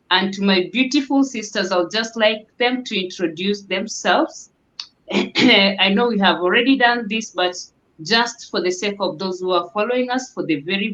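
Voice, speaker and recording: A woman's voice: medium pitch, fairly bright tone. A woman hosting a casual online panel chat and handing the word to each guest. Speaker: host of a business chat series. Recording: dull lo-fi sound.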